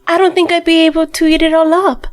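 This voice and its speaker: A woman's voice: very high voice, flat delivery, fast. A young woman voicing a feisty, defiant lead character in an amateur audio drama.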